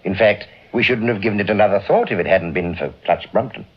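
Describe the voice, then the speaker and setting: An actor playing an authoritative, avuncular British senior investigator in an old radio drama. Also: male voice, fairly low pitch, fast talker, husky, lo-fi sound. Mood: measured, explaining. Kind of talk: mid-century British radio drama acting.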